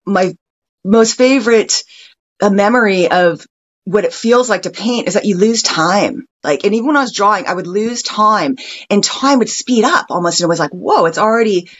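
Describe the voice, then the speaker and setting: A woman's voice: medium pitch, moderate pace, lo-fi sound. An American woman, a guest artist, talking in a casual podcast chat, reflective and meandering with frequent restarts. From United States.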